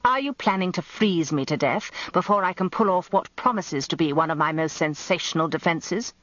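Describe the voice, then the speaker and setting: An actor playing a pompous, grumbling old barrister in a radio drama, British, with a grandiloquent and plaintive way of talking. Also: male voice, on the high side, animated, moderate pace, fairly bright tone, dry recording, dull audio. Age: older. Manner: grandiloquent, plaintive, self-important.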